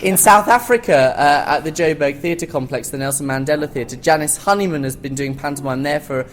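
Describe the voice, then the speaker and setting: A man's voice: a little high, fairly quick, a little hiss or hum. A man speaking informally and knowledgeably as a panelist in a live theatre discussion. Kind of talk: informal expert panel talk.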